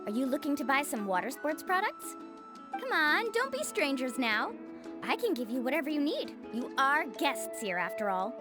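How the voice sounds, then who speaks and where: Cheery young game shopkeeper and guide, high pitch, animated intonation, chatty, reassuring. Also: female voice, moderate pace, music in the background. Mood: cheerful, welcoming. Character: friendly, upbeat young woman island guide and shopkeeper. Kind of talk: video game voice acting.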